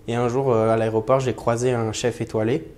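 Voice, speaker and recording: A man's voice: medium pitch, level tone, brisk, darkish tone. A young man in his twenties from French Guiana, an apprentice butcher, talking about his training with frequent hesitations. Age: 20s. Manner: hesitant, many fillers. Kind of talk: interview portrait.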